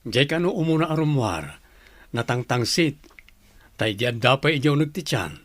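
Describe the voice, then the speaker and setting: A man's voice: medium pitch, animated, moderate pace, a bit bright, dry recording. A man playing a chatty, nosy Ilocano villager in a comedy radio drama. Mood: gossipy.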